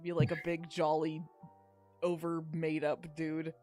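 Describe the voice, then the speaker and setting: A woman's voice: sits low, completely flat delivery, unhurried, a little mellow. A woman chatting casually as a podcast co-host, making wry, jokey, rambling commentary over a video game. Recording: game music playing underneath.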